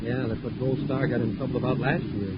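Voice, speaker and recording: An actor playing an earnest, deferential young space cadet sidekick in a 1950s radio adventure. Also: male voice, medium pitch, fairly even intonation, fairly quick, close to an everyday voice. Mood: eager, questioning. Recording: muffled, heavily noisy 1950s radio recording.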